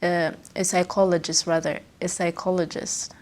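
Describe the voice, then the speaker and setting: Lower-voiced woman host, curious. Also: little pitch movement, moderate pace, darkish tone. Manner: curious, with short backchannel affirmations. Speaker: show host. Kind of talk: interview questions.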